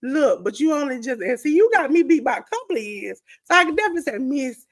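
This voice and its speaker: A woman's voice: sits high, big pitch swings, fast talker. A woman in her forties teasing a friend in a casual livestream chat. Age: 40s. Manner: teasing, laughing.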